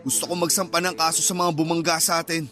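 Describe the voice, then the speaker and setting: A man playing an emotional, guilt-ridden father who is quick to anger, in a radio drama. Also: fairly high pitch, level tone, bright, forceful tone, soft background music. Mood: angry, insistent.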